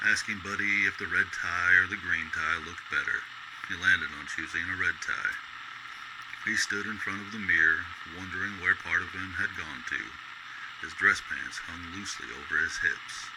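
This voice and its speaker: A man reading scripted story narration in the style of an old-time radio drama. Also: deep voice. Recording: noisy background.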